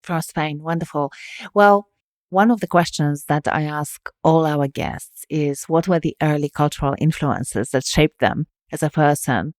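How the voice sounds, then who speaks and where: Low-voiced woman host, dry close sound. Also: measured pace, no room sound. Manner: enthusiastic, articulate, laughs easily. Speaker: podcast host. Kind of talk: scripted intro, then interview questions.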